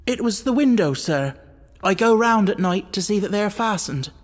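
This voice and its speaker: A man's voice: high-pitched, animated, moderate pace, long reverb tail, pitched above the performer's own voice. A man voicing an agitated, evasive butler who pleads deferentially, in an audiobook of a classic mystery. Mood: nervous, evasive.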